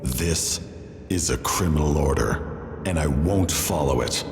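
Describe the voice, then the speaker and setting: A man playing a grave, brooding army general, speaking in a solemn, deliberate, theatrical way. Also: very deep voice. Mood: firm, defiant. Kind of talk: slow theatrical stage monologue. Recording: echoey hall, long reverb, faint music.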